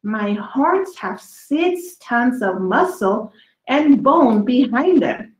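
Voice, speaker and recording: A woman reading a children's book of dinosaur poems aloud, drawing out her words deliberately for young listeners in a small room. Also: on the high side, expressive, roomy sound, poor audio. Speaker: children's story reader.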